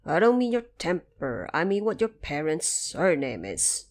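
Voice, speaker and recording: A woman voicing a stern, wise old male patriarch in an audio drama, commanding and deliberate as he lectures. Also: low-pitched, sing-song. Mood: probing.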